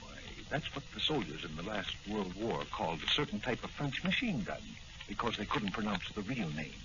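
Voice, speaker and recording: An actor playing a kindly old apothecary doctor who muses and hesitates, in 1940s radio drama style. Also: male voice, on the low side, very animated, moderate pace, highs cut off. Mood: musing. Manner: musing, hesitant, humming to himself. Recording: noisy, band-limited old-time radio recording.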